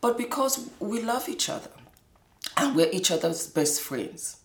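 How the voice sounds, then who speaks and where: Lively woman host in an echoey room. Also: medium pitch, measured pace. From Scotland. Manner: animated, laughs often. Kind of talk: casual personal monologue.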